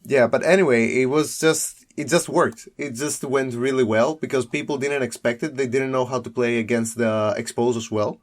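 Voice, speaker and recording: A man's voice: mid-range voice, steady tone, moderate pace, hardly any pauses. Laughing man, rambling. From Greece. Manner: rambling, self-correcting, laughs often. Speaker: guest deck builder. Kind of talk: casual chat about a card game.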